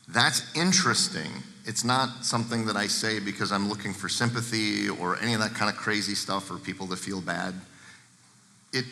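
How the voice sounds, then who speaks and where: A deep-voiced man gives a candid seminar talk, speaking plainly and turning often to the audience. His low voice has a bright, forceful edge. The sound rings with the long echo of a large hall. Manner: candid, plain-spoken, addresses the audience directly. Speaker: guest speaker. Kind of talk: seminar talk to a live room. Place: large seminar room with a projector. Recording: echoey hall.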